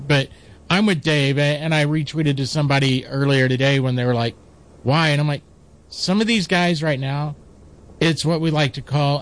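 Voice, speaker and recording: A man with a nasal voice giving opinionated takes on sports radio, talking in long, rambling sentences. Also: on the high side. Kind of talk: casual sports talk.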